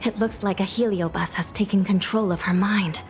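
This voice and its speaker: A woman plays a composed, duty-bound judge from a video game. Her medium-pitched voice is measured, firm and formal, with music playing underneath. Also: steady tone, lightly acted. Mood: calm, grave. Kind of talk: video game dialogue acting.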